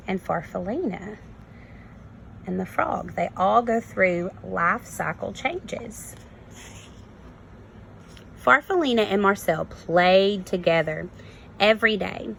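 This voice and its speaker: A woman reads a picture book like a kindergarten teacher, stopping to explain things in simple terms. Her pace is slow and her voice often falls into creak. The recording carries faint noise. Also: mid-range voice, fairly bright tone, rough recording. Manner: simple explanations addressed to young children. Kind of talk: storybook read-aloud with teaching asides.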